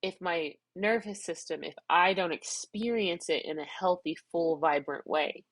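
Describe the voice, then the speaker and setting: Tearful woman vlogging, low and dry. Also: a little slow, no room sound. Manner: rambling, tearful, self-reflective. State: crying, congested. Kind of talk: emotional personal vlog, external processing.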